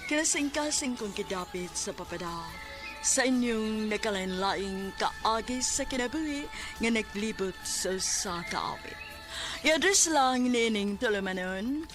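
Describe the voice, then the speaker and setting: Female radio drama announcer, Cebuano accent, unhurried broadcast delivery, medium pitch. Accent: Cebuano Filipino. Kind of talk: scripted broadcast narration. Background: music.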